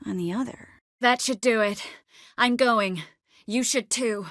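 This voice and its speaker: A woman's voice: fairly high pitch, a little slow, fairly bright tone, dry recording, studio quality. A woman voicing a composed, caring young sorceress in a video game, earnest with a wry edge. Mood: serious, determined. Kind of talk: game dialogue acting.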